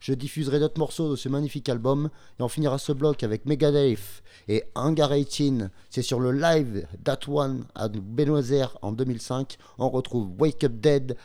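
A man's voice: medium pitch, moderate pace, few pauses, dark tone. A man hosting a radio music show, announcing the songs coming up. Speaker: radio host.

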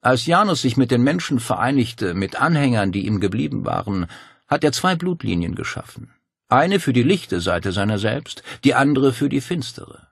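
A man voicing a calm, fervent cult master who speaks with smug, insinuating certainty in an audiobook. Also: mid-range voice, moderate pace, gravelly, breathy edge, dry recording, studio quality, pitched above the performer's own voice, heavily acted. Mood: rapt. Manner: insinuating, declamatory, unruffled. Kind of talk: dramatic audiobook dialogue.

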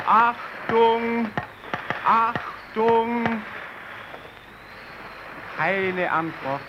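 An actor playing a desperate, defiant Italian radio operator stranded on the ice, theatrical and bitter, in an old radio play. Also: male voice, high-pitched. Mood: urgent calling. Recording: muffled, very noisy old radio recording.

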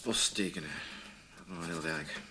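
A man's voice: deep voice, normal speed, close to an everyday voice. An actor in a radio play playing a busy male newspaper boss, formal and preoccupied. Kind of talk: naturalistic radio play dialogue. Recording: clear background noise, office typing sounds.

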